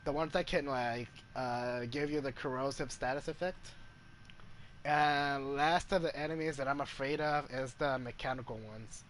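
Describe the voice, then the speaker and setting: A man giving casual gameplay commentary as a streamer, halting and searching for words. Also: medium pitch, fairly even intonation, fairly bright tone, slight background noise. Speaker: gaming streamer. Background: video game music.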